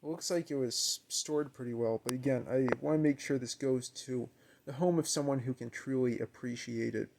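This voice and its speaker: A man's voice: medium pitch, moderate pace, creaky, a little mellow, slight background noise. A man giving a casual, unscripted demonstration at home, musing and a little hesitant as he thinks aloud. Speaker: amateur video maker demonstrating equipment. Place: carpeted room at home, indoors.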